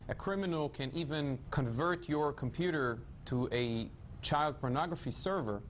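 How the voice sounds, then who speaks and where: A man explaining as an expert interviewee, emphatic and halting. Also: a little high, dull audio, slight background noise. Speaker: interviewee, cybersecurity company CEO.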